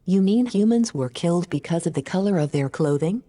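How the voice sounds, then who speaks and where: Woman acting a mellow, low-voiced female AI in heavy reverb. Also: expressive, brisk. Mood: curious, unimpressed. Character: female artificial intelligence, curious, blunt, unimpressed by humans. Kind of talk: scripted audio drama dialogue.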